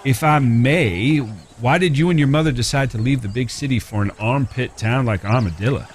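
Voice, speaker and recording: Man acting as courteous Old West drifter, American Western accent, mid-pitched, folksy old-fashioned speech, audio drama, outdoor ambience behind. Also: moderate pace, a little hiss or hum, natural voice. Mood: gentlemanly, bashful. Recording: clear background ambience with animal sounds.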